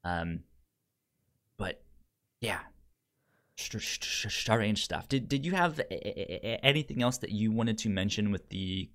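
A man co-hosting a casual film podcast, speaking haltingly with a stutter, repeating sounds and words as he goes. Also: fairly low pitch, expressive, fairly bright tone. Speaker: podcast co-host reviewing films.

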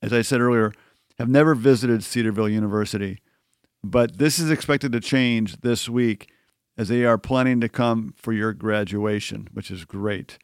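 A man's voice: lowish voice, moderate pace, clear, resonant tone, no room sound, clean studio sound. A man interviewing a student, asking encouraging follow-up questions in a conversational way.

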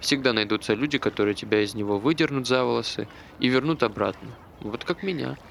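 A man's voice: medium pitch, a little fast, creaky, fairly bright tone. An actor playing an anxious, self-deprecating man, talking hesitantly and earnestly in naturalistic stage dialogue. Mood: earnest, wry. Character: anxious, self-deprecating man recounting his failed suicide. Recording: faint background noise.

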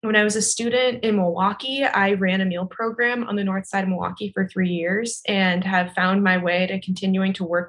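A woman's voice: mid-range voice, fairly bright tone. An American woman giving a conversational interview answer in an articulate, earnest and emphatic manner. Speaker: physical therapy clinician.

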